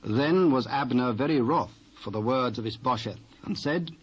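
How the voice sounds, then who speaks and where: British male scripture narrator, muffled old tape. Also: mid-range voice. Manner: measured, formal storytelling. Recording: dull, hissy old cassette transfer.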